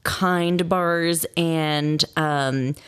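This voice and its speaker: A young woman co-hosting a casual podcast, playful and animated. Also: low-pitched, very slow, clean tone. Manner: animated and playful, laughs along. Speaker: podcast co-host. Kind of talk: casual chat.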